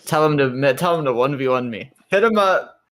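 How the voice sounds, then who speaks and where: A man's voice: medium pitch, animated, fairly quick, fairly bright tone. A man chatting casually as a player in a group tabletop roleplay game.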